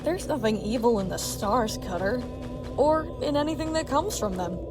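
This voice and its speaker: A woman playing a gentle, calm and hopeful elf in a dramatic comic dub. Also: fairly high pitch, normal speed, close to an everyday voice. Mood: reassuring. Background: music.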